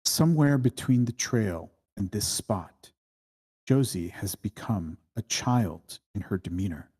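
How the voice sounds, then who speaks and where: A man narrates the stage directions of a screenplay at a table read. His voice is rather low and noticeably husky. The delivery is scripted narration. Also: expressive, a little slow, warm tone.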